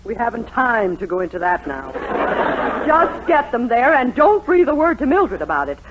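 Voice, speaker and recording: A woman acting a stern, tart middle-aged matron in a 1940s radio sitcom, brisk, sarcastic and commanding. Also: fairly high pitch, very animated, a crowd in the background, noisy background. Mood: bossy. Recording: muffled 1940s radio recording.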